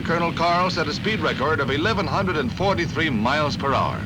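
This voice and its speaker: Man, American accent, 1950s newsreel narrator, swooping lively intonation, punchy dramatic delivery, music behind. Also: a little high, poor audio. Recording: vintage 1950s newsreel soundtrack.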